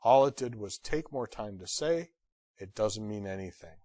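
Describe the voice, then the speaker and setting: A man giving an instructional lecture as a trainer, blunt and direct with wry humor and emphatic pauses on key phrases. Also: lowish voice, lively intonation, dark tone, no room sound, clean studio sound. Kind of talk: instructional lecture on radio procedure.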